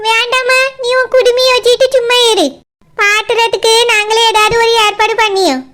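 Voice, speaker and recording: Lady doing grumbly old village granny, kanyakumari tamil, pitched way up cartoon voice, noisy audio. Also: steady tone, moderate pace. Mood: grumbling. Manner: grumbling, sarcastic. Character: fretful, scolding old village grandmother.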